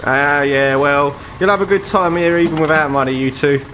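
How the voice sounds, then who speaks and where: A man's voice: fairly high pitch, normal speed, bright, forceful tone, a little hiss or hum. A man voicing a blunt, matey taxi driver who repeats himself and couldn't care less. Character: gruff, indifferent male taxi driver who doesn't judge.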